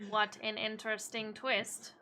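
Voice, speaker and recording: A woman's voice: mid-range voice, monotone, a little slow, noisy background, close to an everyday voice. A woman voicing a bitter, wry male witness in a courtroom video game, formal and theatrical. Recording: music under the voice.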